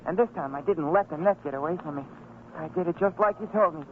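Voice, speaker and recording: A man's voice: a little high, fast talker, crowd noise, natural voice. An actor playing an earnest, dutiful and anxious young son of a fisherman in a religious radio drama. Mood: eager. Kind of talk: old-time religious radio drama acting. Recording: tinny old radio recording, clear background noise.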